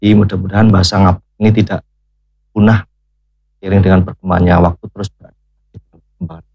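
Male studio guest, very low voice, deliberate pauses, dry close mic. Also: level tone, vocal fry, husky, no room sound, dull audio. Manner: measured, earnest, with pauses. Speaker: cultural guest. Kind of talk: studio talk-show discussion. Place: radio studio.

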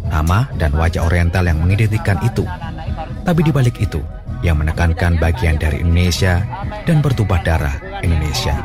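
A man with a very deep voice narrates a radio documentary in a measured, formal way. Loud music runs under his scripted lines. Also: lively intonation.

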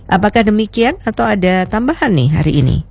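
A woman hosting a radio program, interviewing a guest in a warm, chatty way. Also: mid-range voice, big pitch swings, quick pace, no echo. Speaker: radio host.

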